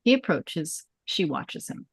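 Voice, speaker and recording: A woman's voice: sits low, sing-song, measured pace, gravelly, slightly rough, no echo. A woman narrating a script at a table read, reading out scene headings and stage directions.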